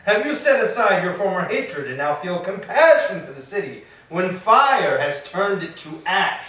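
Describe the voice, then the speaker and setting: A man's voice: sits high, very animated, normal speed, bright, forceful tone, full character voice. A man playing a grand, commanding sea god, speaking formally in a staged reading of Greek tragedy. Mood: formal, questioning. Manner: formal, declamatory. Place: echoing church interior. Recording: very echoey hall, distant mic.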